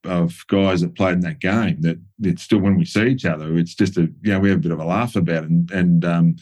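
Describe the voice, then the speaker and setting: A middle-aged Australian man with a very deep voice recalls his playing days. He talks in a loose, halting way, full of ums and restarts, now and then chuckling at his own stories. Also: fairly even intonation, darkish tone. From New South Wales, Australia. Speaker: former footballer, guest. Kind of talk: relaxed reminiscing interview.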